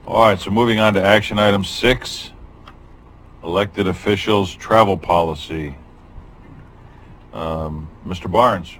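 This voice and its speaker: A man with an extremely deep, low-set voice chairs a public board meeting. He moves through agenda items and roll calls in brisk procedural phrases, speaking into a table microphone in a meeting room. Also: fairly even intonation, a bit bright, a little hiss or hum.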